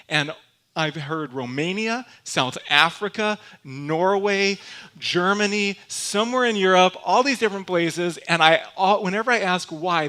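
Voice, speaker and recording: A man's voice: on the high side, pushed and bright. A young man from Michigan with a Michigan accent, preaching a conversational sermon full of personal stories and asides to the congregation. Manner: chatty, self-deprecating, storytelling with asides to the congregation.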